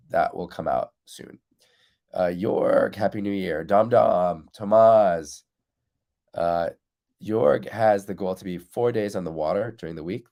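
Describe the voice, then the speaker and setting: Low gravelly man, congested. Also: a little mellow. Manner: reads out viewer comments and answers them directly. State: congested, recovering from losing his voice. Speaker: windsurf coach hosting a live stream. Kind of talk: casual live-stream chat.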